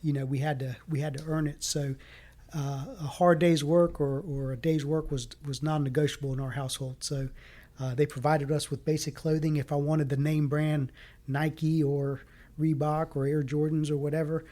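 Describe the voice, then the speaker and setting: A man raised in the American South whose first language was Greek, talking earnestly in a casual interview, with many halting fillers. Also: on the high side, flat delivery, mellow. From Fayetteville, North Carolina. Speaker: city council candidate.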